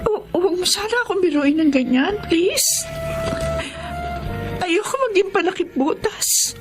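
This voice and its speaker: An actress playing a gentle, affectionate, bashful aunt with emotional delivery in a Filipino radio drama. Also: female voice, extremely high pitch, lively intonation, unhurried, over music. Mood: flustered, shy.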